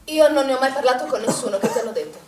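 An actress playing a troubled, grief-haunted middle-aged woman in an Italian stage drama, with anguished, heavily paused delivery. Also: high-pitched, a crowd in the background, natural voice. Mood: guarded, grieving. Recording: distant echoey stage recording with background noise.

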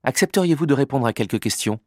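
A man's voice: medium pitch, lively intonation, fast, darkish tone, no room sound, clean studio sound. A man, a journalism student, asking interview questions in a polite, formal way.

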